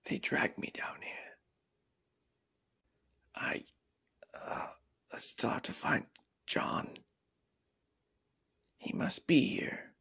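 A man playing a frightened, weakened captive reporter in a whispered ASMR horror drama, halting and melodramatic, with a breathy, raspy voice. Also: bright, forceful tone. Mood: fearful, urgent. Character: frightened, captured male reporter, weakened and in pain.

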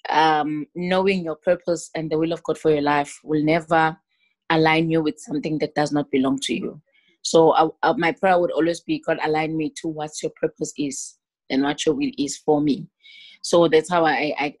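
Low-voiced South African woman, chatty. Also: no echo. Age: 30s. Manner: chatty, laughs often, many restarts. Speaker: interview guest, gospel singer. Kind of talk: casual interview over video call. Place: small room at home. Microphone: laptop webcam on a video call.

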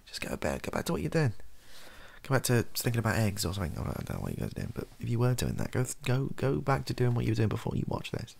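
A British man in his thirties rambling softly and hesitantly in an improvised, self-deprecating ASMR style, with a gravelly, husky voice. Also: medium pitch, very animated, fast talker. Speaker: video host, ASMR creator. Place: small bedroom office, indoors.

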